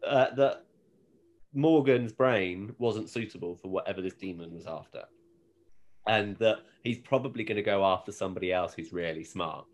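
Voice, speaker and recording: A man's voice: low voice, moderate pace. A British man chatting casually as a podcast co-host. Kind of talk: casual chat.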